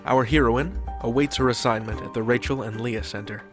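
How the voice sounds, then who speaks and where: Man, mid-pitched, polished scripted host narration, deliberate delivery, faint music bed. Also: fairly even intonation. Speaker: podcast host. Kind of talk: scripted narration and sponsor reading.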